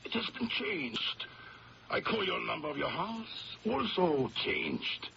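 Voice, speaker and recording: Blackmailer, heavy creak, stilted courtesy, cool menace, 1970s radio drama, noisy old recording. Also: male voice, high voice, very animated, dull audio, exaggerated voice. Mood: oily insistence. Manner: stilted foreign phrasing, oily politeness, deliberate. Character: menacing male blackmailer, falsely polite and coolly insistent.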